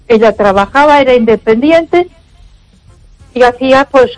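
A woman's voice: fairly high pitch, lively intonation, moderate pace, darkish tone, no echo, soft background music, rough recording. An older woman from Barcelona calling a radio show, talking in an emphatic, repetitive way with frequent exclamations. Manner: emphatic, repeats herself, frequent ay interjections. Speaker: radio caller. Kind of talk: casual phone-in chat.

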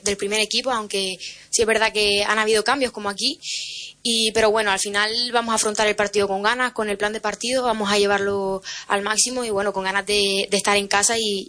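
Young woman athlete, fairly even tone. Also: medium pitch. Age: young adult. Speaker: footballer. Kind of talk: spoken press interview answers.

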